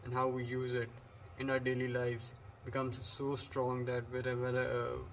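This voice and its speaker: A man from Pakistan talks through an English lesson in a flat, level voice that barely rises or falls. He rambles with frequent fillers and restarts. Faint background noise sits under the recording. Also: medium pitch, normal speed. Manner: flat delivery. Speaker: English teacher. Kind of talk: unscripted lecture.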